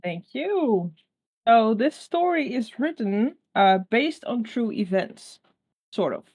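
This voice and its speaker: A woman's voice: fairly high pitch, big pitch swings, slow pace, a little mellow. A woman reading her own piece of fan fiction aloud as a story.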